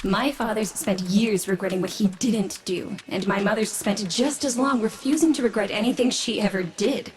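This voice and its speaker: A woman's voice: medium pitch, moderate pace, few pauses, natural voice. Wry, plain-spoken daughter acted by a woman. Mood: wry, grateful. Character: candid, wry grown daughter of a quarrelling family. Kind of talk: video game character acting.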